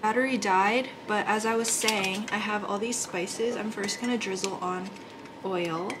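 A woman's voice: medium pitch, fairly even intonation, unhurried, heavy background noise. A young woman in a casual cooking vlog, talking through each step as she cooks in a small apartment kitchen. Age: young adult. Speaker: vlogger.

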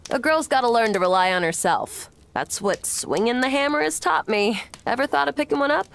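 A woman's voice: on the high side, expressive, brisk, vocal fry. A woman playing a hearty, self-reliant blacksmith in a video game. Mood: confident, hearty. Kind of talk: video game character dialogue.

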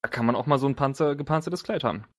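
A man narrating as game master of a tabletop role-playing session in a casual, joking, halting way. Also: medium pitch, slight background noise.

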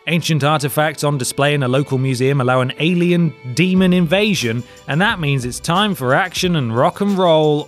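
A man with a British accent reading a scripted countdown as host, sardonic and mock-dramatic with dry asides. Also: mid-range voice. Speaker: video host narrator. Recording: voice-over with music behind. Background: music.

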